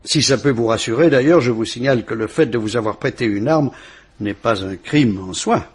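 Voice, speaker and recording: A measured police commissioner probes a witness with calm questions, played by a man. His voice sits in a mid pitch and sounds husky and rough. He speaks in the paced manner of an old radio drama. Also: close to an everyday voice. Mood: brisk. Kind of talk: 1950s French radio drama acting.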